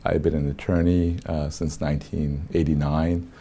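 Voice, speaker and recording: A man in his fifties from South Korea, an attorney, telling his life story in a halting, reflective way with many pauses and soft chuckles. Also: extremely low pitch, flat delivery. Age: 50s. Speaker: attorney interviewee.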